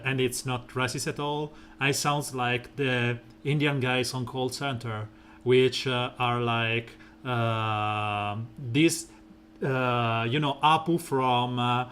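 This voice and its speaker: A man streaming a mobile game, talking through team strategy in a relaxed, rambling way with lots of fillers and a soft, smooth voice. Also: slight background noise. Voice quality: soft, smooth and deep when slow. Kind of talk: casual gameplay commentary.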